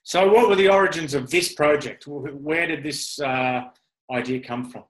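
A man's voice: on the high side, moderate pace, rough recording. A man hosting a podcast interview in a casual, chatty, self-deprecating and rambling way. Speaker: podcast host.

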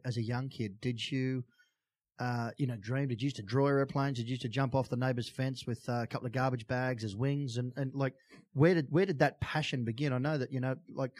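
A man's voice: medium pitch, a little mellow, no room sound, studio quality. Casual Australian man hosting an interview. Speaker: podcast host and interviewer.

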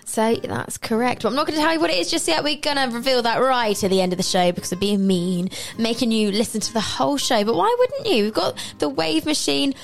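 A woman's voice: a little high, expressive, a little fast, vocal fry, few pauses. A woman hosting a casual radio interview, chatty and laughing often, her voice slightly worn. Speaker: radio show host.

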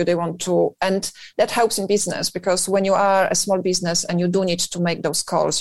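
A woman's voice: lowish voice, darkish tone, no room sound. A woman with a Polish accent, a business founder talking at length as a radio interview guest and leaning often on "you know". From Poland. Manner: talkative, thinks aloud with many "you know" fillers.

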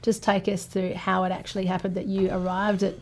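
Woman podcast co-host, mellow mid-range voice, keeps the guests talking. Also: medium pitch, monotone, fairly quick. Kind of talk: interview questions.

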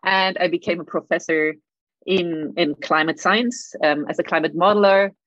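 Woman, German accent, middle-aged, lowish voice, stammering repeats, dry muffled sound. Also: fairly even intonation, moderate pace, fairly bright tone, no echo. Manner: frequent stammered repeats, light laughs. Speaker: guest, climate scientist. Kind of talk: conversational interview answers.

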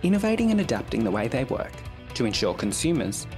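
Male narrator for a scripted promo, mid pitch, expressive with fry, guitar music clearly underneath. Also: fast.

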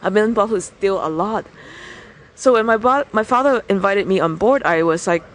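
A woman's voice: medium pitch, a little fast, slight background noise, low-quality recording. Woman, frank winery owner. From Hong Kong. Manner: frank, with frequent restarts and hesitations. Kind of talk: casual interview chat.

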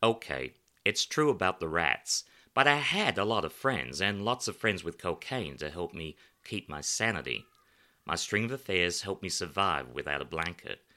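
Man voicing a macabre, wry writer, faded american accent, animated, bright, storybook character. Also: low-pitched, normal speed. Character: flamboyant male writer, thirties, from old New York. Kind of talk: audiobook character voice.

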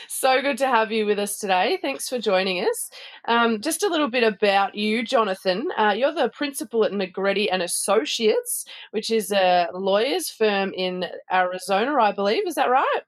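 Upbeat woman podcast host, chatty, mid pitch. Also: animated, normal speed. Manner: upbeat, chatty with many fillers. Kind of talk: podcast interview.

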